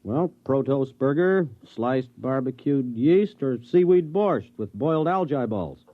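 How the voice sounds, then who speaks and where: An actor playing a brash, loyal deputy on a space project, wisecracking and emphatic in 1950s radio drama style. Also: male voice, mid-range voice, expressive, a little slow, no echo, natural voice. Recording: dry, muffled vintage radio recording.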